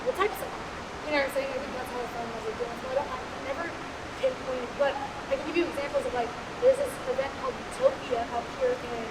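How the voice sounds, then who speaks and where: A young woman from Los Angeles on a dance panel, answering candidly in loose, rambling talk full of fillers in front of a live audience. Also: high-pitched. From Los Angeles, USA. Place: room before a live audience. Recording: echoey room with steady hiss.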